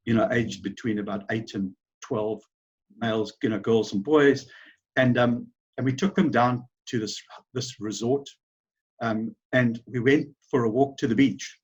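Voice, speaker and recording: South African man, husky and muffled. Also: medium pitch. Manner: rambling, many fillers and restarts. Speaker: business founder, guest. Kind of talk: conversational interview storytelling.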